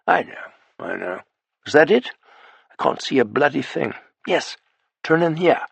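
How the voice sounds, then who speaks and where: A man's voice: on the high side, very animated, unhurried, gravelly, slightly rough, dry recording, pitched above the performer's own voice, exaggerated voice. A man voicing a weary aristocratic male agent who turns urgent and clipped when alarmed.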